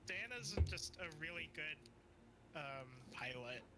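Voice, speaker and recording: A man chatting casually with friends in a voice call, easygoing and pausing with fillers. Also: on the high side, expressive, music in the background. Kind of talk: casual voice chat.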